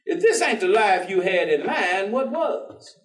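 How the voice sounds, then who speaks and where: A man's voice: high-pitched, very animated, unhurried. An actor playing an earnest, persistent, folksy working man in a staged dramatic reading, speaking colloquially. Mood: wry. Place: theatre stage. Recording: echoey theatre, distant microphone.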